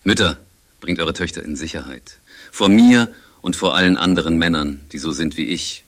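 A man's voice: low voice, normal speed, soft background music. A man playing a menacing, brooding figure in a satirical radio play, speaking in a dramatic, declamatory way. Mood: ominous.

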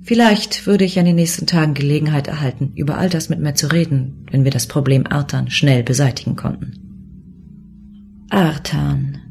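A woman's voice: low-pitched, vocal fry, darkish tone. An actress playing a cool, determined, sardonic female government commissioner in a German science fiction audio drama. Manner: sardonic, self-assured. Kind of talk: German audio drama acting with inner monologue. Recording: music bed behind the voice.

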